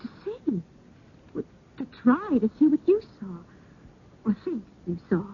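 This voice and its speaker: A woman's voice: very animated, measured pace, husky, heavily acted. A woman playing an anxious, timid young wife in an old-time radio drama, speaking in a hesitant, faltering way. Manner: hesitant, faltering, breathless pleading. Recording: dull, narrow old radio recording, rumble.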